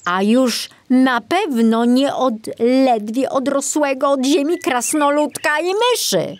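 A woman's voice: high-pitched, slow, voice pushed up. A woman voicing an easily rattled, flustered male forester in a children's storybook. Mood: defensive. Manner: hesitant, stammering when nervous.

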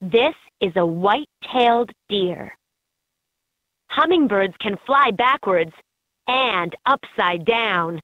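A woman voicing an encouraging guide in a children's educational game, narrating in a clearly enunciated, instructive way. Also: medium pitch, animated, slow pace, dry recording. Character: encouraging female guide in a kids' animal learning game. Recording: muffled lo-fi handheld game audio clips.

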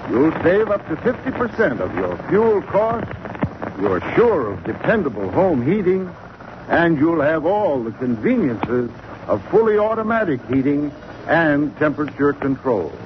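A man's voice: on the high side, very animated, a little slow. A man delivering a scripted commercial talk as a heating expert on 1940s radio. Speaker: advertising spokesman, heating expert. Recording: noisy, muffled old 1940s radio recording.

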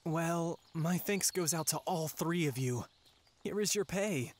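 An actor playing a humble, grateful traveling merchant with a polite, folksy manner, in an audio drama. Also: male voice, a little high, a little slow.

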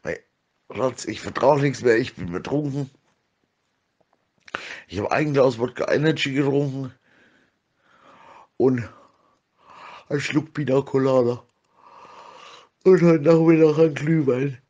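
A man's voice: medium pitch, slow pace, long pauses, a little mellow, dull audio. Rambling middle-aged German man. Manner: rambling, slangy, repeats himself. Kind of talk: casual private voice messages.

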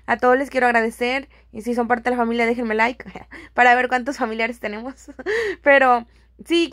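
A woman's voice: a little high, a bit bright, dry recording, clean studio sound. A woman with a Mexican accent giving a casual, chatty, friendly voice-over as a home decor vlogger.

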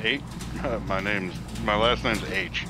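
A man's voice: lowish voice, takes it slowly. A man roleplaying as a business owner in an online game, answering questions in casual conversation. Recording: clearly noisy, outdoor vehicle ambience.

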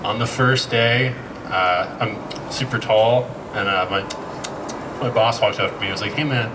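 A man in his thirties with an American accent, doing a stand-up set with a halting, deadpan, self-deprecating delivery full of fillers. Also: fairly low pitch, noisy background. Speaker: stand-up comedian. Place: outdoor patio stage at night. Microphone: handheld mic. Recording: distant camera mic with loud crowd laughter. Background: audience laughter.

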